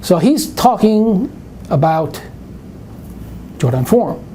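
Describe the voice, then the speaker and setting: An older man with a New York accent talks in a mellow, relaxed tone over a faint hiss. He answers webinar questions in a rambling, anecdotal way, often stopping to restart his sentences. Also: high voice, slow. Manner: rambling, anecdotal, self-interrupting. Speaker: math presenter. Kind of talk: live webinar Q&A.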